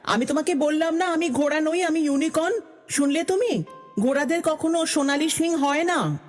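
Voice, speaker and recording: A high-pitched, proud and gentle female unicorn from a cartoon fairy tale, acted by a woman. The voice rings in a long reverb with music playing behind it. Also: normal speed, close to an everyday voice. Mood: proud, correcting.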